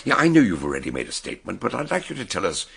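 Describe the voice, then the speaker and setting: Deep, gravelly British detective. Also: male voice, wildly swooping pitch, racing, a bit bright, full character voice. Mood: probing. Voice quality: gravelly, husky. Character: eccentric, probing older male amateur detective. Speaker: detective and narrator. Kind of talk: British radio drama acting, narration and dialogue.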